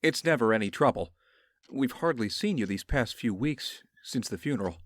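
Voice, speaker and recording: A man's voice: mid-range voice, lively intonation, moderate pace, no room sound, natural voice. A man playing a genial, unruffled friend in an audio drama, affable, wry and reassuring.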